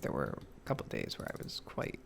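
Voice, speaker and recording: A man giving a halting, rambling ASMR gaming update in a hushed, breathy, mostly whispered voice, slightly nasal from getting over an illness. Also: slight background noise. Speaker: gaming ASMR video creator.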